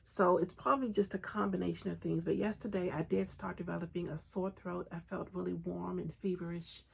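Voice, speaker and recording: A woman hosting a crafting podcast, chatting casually with lots of enthusiasm and frequent ums, her voice raspy and congested from a recent illness. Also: fairly low pitch, fairly even intonation, brisk. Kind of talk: casual solo podcast chat.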